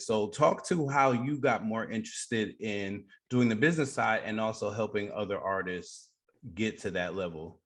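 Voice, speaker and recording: A man's voice: lowish voice, fairly bright tone. A man hosting a radio show, interviewing a guest in a casual on-air style.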